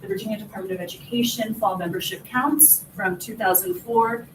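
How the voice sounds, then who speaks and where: A woman's voice: medium pitch, steady tone, fairly quick. A woman in her forties, born in Peru and raised in the US, giving an earnest, step-by-step academic conference presentation as an assistant professor. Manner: earnest, explanatory, builds points step by step. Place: conference hall. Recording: roomy hall, mic from far off, noisy.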